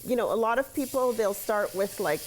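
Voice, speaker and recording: A woman's voice: a little high, expressive, fairly quick, poor audio. A woman giving a casual, chatty cooking demonstration as a guest cook, explaining each step as she goes. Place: TV studio kitchen. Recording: clear sizzling and stirring noise behind. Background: sizzling pan, stirring, clicks.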